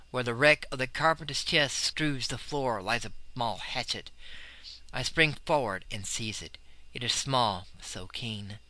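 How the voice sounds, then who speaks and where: A man's voice: medium pitch, bright, forceful tone, no room sound, poor audio. A man playing a terrified young sailor, telling a horror tale of the sea with intense dread. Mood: vengeful, frantic. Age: young adult. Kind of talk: dramatic first-person horror storytelling.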